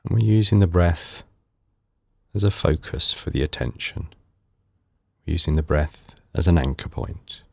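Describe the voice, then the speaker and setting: A man leading a guided meditation in calm, unhurried, soothing short phrases with pauses between them. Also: low-pitched, vocal fry, no echo, clean studio sound. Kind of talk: guided body scan meditation.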